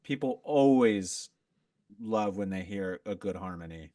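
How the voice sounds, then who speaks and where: A man talking as a podcast guest in a relaxed, rambling way, full of fillers and restarts. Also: on the low side, unhurried, no echo, clean studio sound, distant mic. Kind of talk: casual chat.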